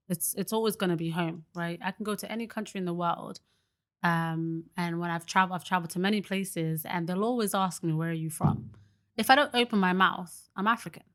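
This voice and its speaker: A young woman speaks as a podcast guest. She is chatty and sincere, leaning on "like" and "you know". Her voice sits slightly low for a woman. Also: fairly quick, a bit bright. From Britain. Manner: chatty and earnest, many fillers like "like" and "you know"; laughs easily. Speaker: guest, early childhood educator. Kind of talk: casual podcast conversation.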